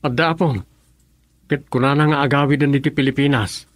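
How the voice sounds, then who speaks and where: A man's voice: medium pitch, fairly even intonation, moderate pace, no room sound, close to an everyday voice. A man playing a concerned, respectful young son, subdued in manner, in an Ilocano radio drama.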